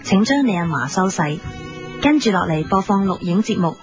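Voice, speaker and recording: A woman's voice: lowish voice, animated, moderate pace. A woman presenting and announcing programmes in the style of a parody TV station. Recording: dull lo-fi with loud guitar music.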